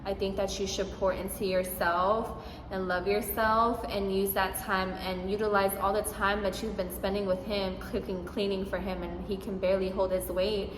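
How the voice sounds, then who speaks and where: A woman's voice: medium pitch, little pitch movement, normal speed. Young woman, casual vlogger, sassy and emphatic; roomy echoey recording. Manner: sassy, emphatic, talks straight to viewers. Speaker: vlogger giving advice. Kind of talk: casual Q&A vlog talk. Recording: echoey room with noise.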